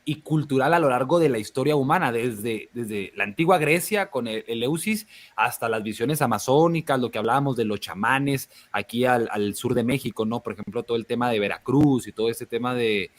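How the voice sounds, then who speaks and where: A man co-hosts a live discussion at an average pitch. He thinks aloud, pausing and restarting his phrases. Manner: reflective, hesitant, many restarts. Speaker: podcast co-host.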